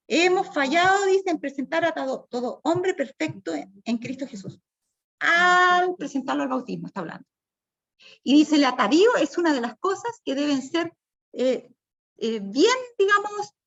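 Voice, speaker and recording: A woman's voice: sits high, fairly bright tone, dull audio. A woman leading a church Bible study, speaking in a firm, preachy yet chatty way.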